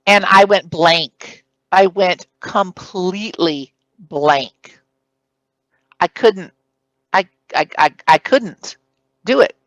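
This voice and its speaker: A woman's voice: lowish voice, takes it slowly, long pauses. A woman at a conference session giving interview advice in a strong, projected voice. She stresses her points firmly and counts them off one by one. The sound is close and dry, with no room echo. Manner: projected, emphatic, speaks in numbered points. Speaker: conference presenter. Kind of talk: recorded conference talk giving career advice.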